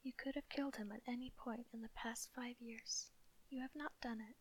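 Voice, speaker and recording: A woman whispering the lines of a fanatical, hot-tempered witch who sneers and accuses, as in a quiet audiobook reading. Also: a little high, steady tone, normal speed, close to an everyday voice, rough recording. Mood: accusing. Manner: whispered throughout.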